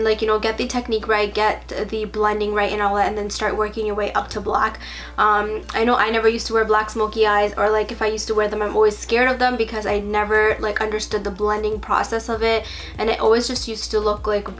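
A young woman with a stuffy cold talks casually through a makeup tutorial in her bedroom, chatty and full of fillers, softer when focused on applying makeup. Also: medium pitch. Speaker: beauty vlogger. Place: bedroom at home.

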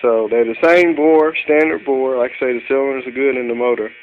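A man's voice: medium pitch, fairly even intonation, brisk. Man on a tinny, humming phone recording. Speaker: amateur car mechanic vlogger. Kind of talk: casual show-and-tell narration of engine parts. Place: cluttered garage workshop. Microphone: handheld phone behind the camera. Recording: tinny phone-like sound with electrical hum.

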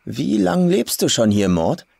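A man voicing a stout, gruff old country woman who talks in curt, blunt, colloquial phrases. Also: on the high side, big pitch swings, unhurried, a little mellow, pitched above the performer's own voice, full character voice.

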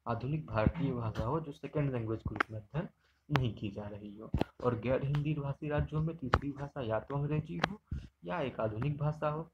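A man's voice: medium pitch, moderate pace, lo-fi sound, heavy background noise. Man teaching an exam-prep lesson. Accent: Hindi. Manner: explanatory, repeats points, checks with "ठीक". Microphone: screen recording, unseen speaker.

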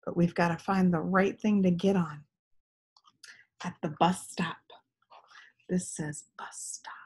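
A woman's voice: fairly low pitch, steady tone, slow, a little mellow, slightly rough. A woman in her thirties reading aloud for young children, teacherly and prompting with questions. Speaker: read-aloud storyteller. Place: small living room indoors.

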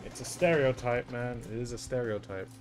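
A man's voice: mid-range voice, moderate pace, music in the background. Chatty English man streaming a game. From England. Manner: chatty, reads and answers chat, often says "dude". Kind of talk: casual live-stream chat while gaming.

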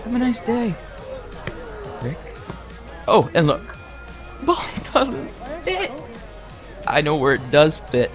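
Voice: mid-range voice, wildly swooping pitch, slow pace, pauses often, soft background music, some chatter behind. An actor playing a nervous yet cocky, foul-mouthed oddball in a comedic audio drama, stammering and swinging into outbursts. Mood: smug, spiteful.

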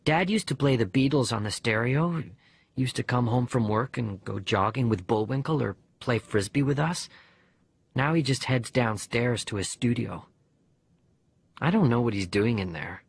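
A man voicing a bereaved young boy who narrates his diary letters in an expressive, conversational audiobook reading. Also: mid-range voice, moderate pace, creaky, slightly rough, no room sound. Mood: wistful.

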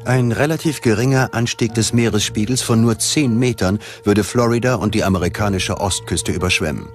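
A man's voice: fairly low pitch, fairly quick. A man narrating a scripted documentary in a dramatic, ominous manner. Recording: loud music behind the voice. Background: music.

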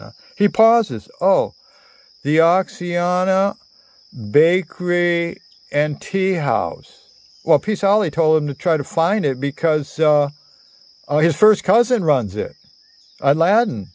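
A man in his sixties telling a rambling, improvised story in a theatrical, halting way, full of digressions and pauses. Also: medium pitch, a little mellow. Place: outdoors under cover among greenery. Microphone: phone at arm's length.

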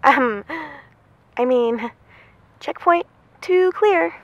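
A blunt, exasperated young heroine played by a woman in an audio drama. Her voice is extremely high and recorded dry. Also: lively intonation, drawn-out words, no echo, highs cut off, heavily acted. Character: irritable, blunt young female superhero on lookout.

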